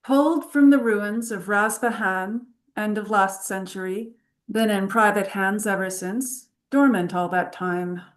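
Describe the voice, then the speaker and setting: A woman reading the part of a cool, confident female adventurer at a script table read, brisk and matter-of-fact. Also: mid-range voice, lightly acted. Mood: knowing.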